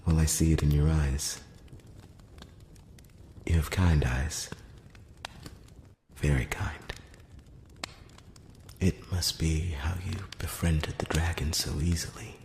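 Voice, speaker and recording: Elf emissary for fantasy ASMR roleplay, played by a man: extremely low, slow, hushed and breathy, solemn and courteous. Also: heavily acted. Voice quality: breathy whisper mixed with very low murmur. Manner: hushed, halting, measured, earnest. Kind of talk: close-up whispered ASMR roleplay. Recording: roomy long reverb, faint noise.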